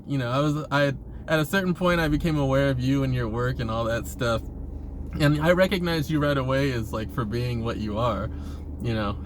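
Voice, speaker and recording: A man's voice: fairly high pitch, a little hiss or hum. A man talking casually in a rambling monologue, hesitant and often restarting his phrases, as a vlogger posing questions.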